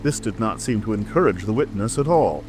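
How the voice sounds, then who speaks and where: A man's voice: fairly low pitch, expressive, brisk. A man reading a scripted storybook narration as a dramatic audiobook narrator. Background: music.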